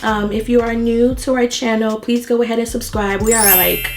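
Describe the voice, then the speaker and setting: A woman's voice: on the high side, normal speed, soft background music. Chatty young woman getting over a cold. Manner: chatty, laughs often, lively. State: recovering from a cold. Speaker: vlog co-host. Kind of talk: casual couple Q&A chat.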